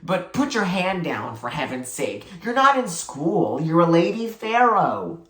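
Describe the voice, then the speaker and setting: A reader voicing a bossy young girl playing high priestess in a storybook, chanting solemnly and commandingly. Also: big pitch swings, a little slow, fairly bright tone. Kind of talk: children's storybook character voice. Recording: roomy, echoey sound.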